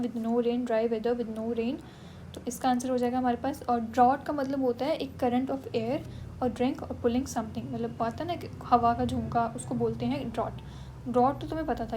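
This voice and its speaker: A woman's voice: a little high, mellow, a little hiss or hum, rough recording. A woman with an Indian English accent teaching English vocabulary in mixed Hindi and English, checking in often with her students. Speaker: English exam tutor. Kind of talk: Hinglish vocabulary lesson.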